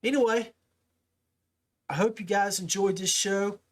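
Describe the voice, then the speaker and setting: Higher-pitched American man, folksy podcast host. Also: fairly even intonation, unhurried. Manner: folksy, informal speech. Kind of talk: casual podcast talk and interview.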